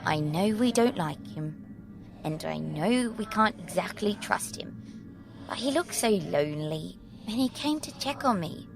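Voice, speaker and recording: A woman's voice: mid-range voice, expressive, measured pace, vocal fry, music in the background. A woman playing a wary, earnest girl, the big sister, in an audio drama, guarded and pleading. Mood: soft pleading. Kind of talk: audio fiction drama acting.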